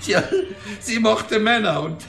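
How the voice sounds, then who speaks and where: A man's voice: high voice, a little slow, vocal fry, fairly bright tone, roomy sound, full character voice. An actor playing a cranky, cocky old man, a wisecracking and belligerent mechanic, in a German radio drama. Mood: agitated, scornful. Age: older. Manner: wisecracking, belligerent, long-winded.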